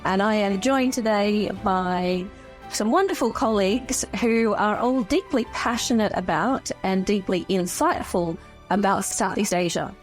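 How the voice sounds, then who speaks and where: A middle-aged woman hosting a panel conversation, introducing colleagues in a measured way. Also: mid-range voice, faint music behind. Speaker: host.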